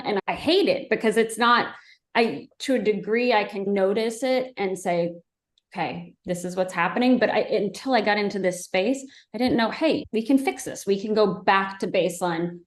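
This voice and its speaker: Earnest woman guest in echoey room. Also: mid-range voice. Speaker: guest, speech-language pathologist. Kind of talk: casual podcast conversation.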